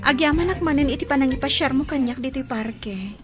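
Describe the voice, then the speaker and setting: A woman's voice: sits high, a little fast, over music, close to an everyday voice. A woman acting a coy, anxious young woman in love in an Ilocano radio drama, hesitant and emotive, with an Ilocano Filipino accent. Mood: bashful.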